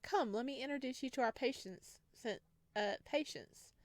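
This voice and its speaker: A woman's voice: sits high, normal speed, no echo, pitched above the performer's own voice, lightly acted. A woman voicing a friendly, efficient nurse in a visual novel, polite and businesslike. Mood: brisk, informative. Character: friendly, efficient female resident nurse on psych ward.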